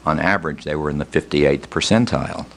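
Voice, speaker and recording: A man's voice: very deep voice, quick pace, creaky, fairly bright tone. An older man answering at length on a panel, discursive and often restarting his phrases. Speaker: panel speaker and former fund executive.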